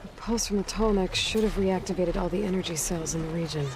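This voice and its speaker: A woman's voice: on the low side, quick pace, lightly acted. Woman acting determined young warrior heroine, noisy ambience. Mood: focused. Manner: resolute, murmurs thoughts to herself. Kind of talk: video game voice acting.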